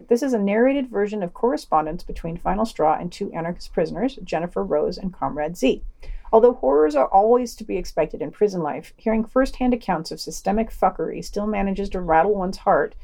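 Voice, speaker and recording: A woman's voice: mid-range voice, expressive, brisk, vocal fry, mellow. A woman reading scripted podcast reviews as host, wry and opinionated, with casual asides.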